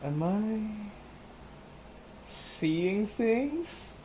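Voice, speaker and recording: A woman's voice: medium pitch, big pitch swings, drawn-out words, very noisy. A woman hosting a gameplay video, reacting casually to the game as she goes.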